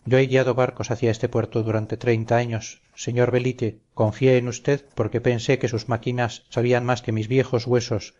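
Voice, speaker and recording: Guy doing old harbour man, flat and mellow, betrayed, audio drama, slightly muffled. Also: medium pitch, normal speed, few pauses, lightly acted. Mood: grave reproach. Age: older. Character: weary veteran harbour pilot, betrayed and reproachful.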